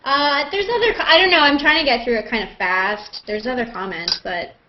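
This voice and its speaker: A woman hosts a casual online hangout in a fairly high voice. She talks in an animated, joking way, telling stories to the group. The sound is dull, low-fidelity video call audio. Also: a little fast, a little hiss or hum. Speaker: host of a live video hangout.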